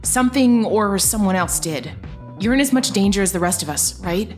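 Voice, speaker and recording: An actress playing a tough, determined action heroine in an audio drama, with a gritty, blunt and defiant manner. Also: female voice, mid-range voice, animated, normal speed, faint music behind, close to an everyday voice. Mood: blunt, reassuring.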